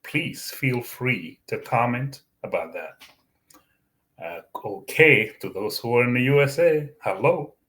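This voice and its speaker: A man whose first language is Arabic hosts his own channel, giving a Bible teaching talk in a slow, deliberate way with many fillers and restarts. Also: mid-range voice, expressive, a bit bright. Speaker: YouTube channel host.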